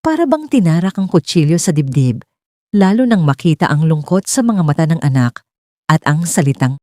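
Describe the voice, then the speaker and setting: Low, mellow woman storyteller. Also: sing-song, normal speed, dry recording, studio quality. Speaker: narrator. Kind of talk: scripted dramatic story narration.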